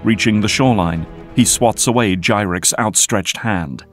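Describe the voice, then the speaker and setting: A man's voice: on the low side, a little slow, soft background music. A man giving scripted, dramatic narration as the narrator of an audio drama.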